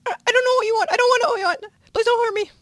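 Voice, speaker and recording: Man putting on a squeaky, terrified little girl pony. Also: fairly even intonation, racing, bright, forceful tone. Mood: terrified, pleading. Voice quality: squeaky falsetto. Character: terrified timid little female pegasus pony, pleading.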